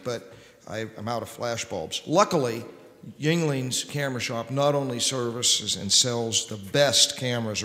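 A middle-aged man hosting a stage radio show, reading old-time sponsor pitches and announcements into a stand microphone. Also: bright, forceful tone. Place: stage in a wood-furnished hall. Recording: reverberant hall.

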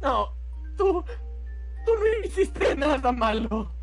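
A woman acts out an anguished young male hero from a horror game. The voice is pushed higher and swings dramatically as he pleads, protective and melodramatic, over music. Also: big pitch swings, takes it slowly, heavily acted. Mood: anguished, pleading.

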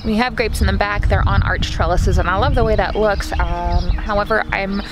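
A woman's voice: mid-range voice, fairly even intonation, moderate pace. A woman in her thirties chatting casually to a close-held camera outdoors in a front yard, explaining step by step with plenty of fillers. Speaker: vlogger. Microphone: camera held close to face. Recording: background music under voice.

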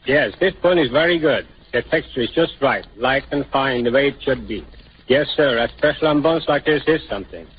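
A man with a husky voice, a chef guest in a scripted 1930s radio interview. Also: medium pitch, animated, fairly quick. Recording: dull lo-fi 1930s radio broadcast.

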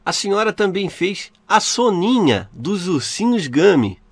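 A man's voice: high voice, sing-song, measured pace, slightly rough, dry recording. A man with a Brazilian accent asking prepared interview questions in a formal, respectful manner.

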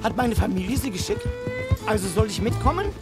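A man's voice: sits high, big pitch swings, brisk, over loud music. An actor playing an anxious, grateful German settler and family man in a video game, earnest and effusive. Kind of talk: video game character acting.

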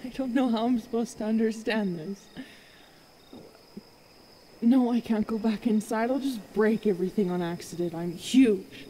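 A woman playing a huge, self-loathing young werewolf girlfriend in an ASMR roleplay, halting and emotional, sometimes dropping to a whisper. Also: on the high side, mellow, slight background noise, lightly acted, poor audio. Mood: distraught disbelief, then reluctant, insecure. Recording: cricket and night ambience behind.

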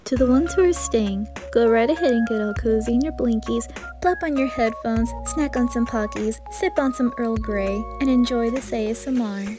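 A woman's voice: a little high, moderate pace, over music. A woman giving a scripted introduction to an ASMR video.

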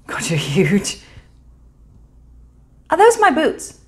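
A woman's voice: on the high side, wildly swooping pitch, drawn-out words, darkish tone, slight background noise, voice pushed up, full character voice. A woman performing a dramatic stage monologue as a guilty young woman, blurting out a confession in an urgent, breathless run-on. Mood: nervous, blurting. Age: young adult.

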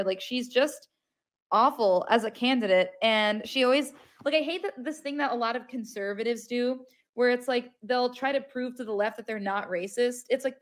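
A woman on a podcast panel, talking bluntly and dismissively over a video call, filling her speech with "like". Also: on the high side. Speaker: panel guest. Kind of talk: casual political podcast chat.

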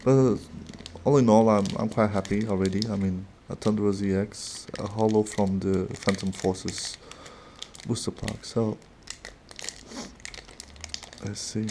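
A man with a non-native accent opening trading cards at a desk, talking casually and haltingly with small laughs. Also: on the low side, steady tone, background noise. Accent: non-native English. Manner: slow, halting, chatty with little laughs. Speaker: card-opening channel host. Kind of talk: casual card-opening commentary. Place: desk in a dim room.

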